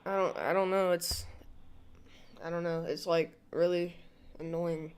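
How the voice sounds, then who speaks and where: A man's voice: on the high side, level tone, measured pace, clear, resonant tone. A man making a prank phone call, chatting with an earnest, clueless manner. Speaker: prank caller.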